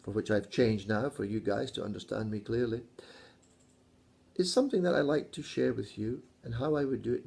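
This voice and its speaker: Scottish male teacher, mellow tone, lively pitch, playful and teasing, casual video lesson. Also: gravelly. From Scotland. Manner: playful, teasing, jokey asides. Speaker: English teacher.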